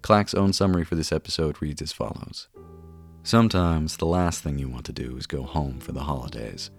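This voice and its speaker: Male host, extremely low bass voice, scripted episode intro with guitar music behind. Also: a little fast. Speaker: podcast host.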